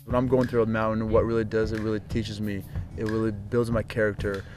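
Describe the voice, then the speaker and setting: A man's voice: on the low side, little pitch movement, a little fast. A man from Ukraine, interviewed as a model, speaking earnestly in repeated short declarations. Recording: music playing under the voice.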